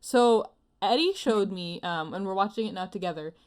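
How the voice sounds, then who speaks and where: A woman's voice: medium pitch, lively intonation, normal speed. A woman hosting a casual podcast in a playful, giggly, jokey manner. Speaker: podcast host.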